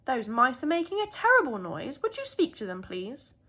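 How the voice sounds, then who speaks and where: A woman's voice: sits high, very animated, normal speed, pushed and bright, exaggerated voice. A woman voicing a cross old male rabbit, fussy and complaining, for a children's storybook. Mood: weary, cross.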